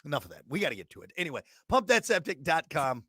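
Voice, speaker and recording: A young man with a drawl and a gravelly, scratchy voice that still sits high and bright. He hosts a morning radio show in a dry, close-miked studio, joking and laughing easily. The delivery is animated and playful. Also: fast talker, no echo. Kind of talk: casual radio banter.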